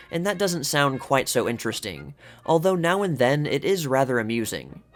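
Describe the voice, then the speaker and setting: A man giving a scripted narration, reading aloud from old magazine articles. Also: medium pitch. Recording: music playing behind the voice. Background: background music.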